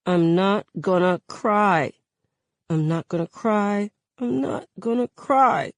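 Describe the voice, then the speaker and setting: A woman's voice: lowish voice, slow, mellow, no room sound. A woman voicing a guarded, grieving teenage girl who speaks in a subdued mutter in an audiobook. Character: guarded, grieving teenage girl, quietly holding back tears.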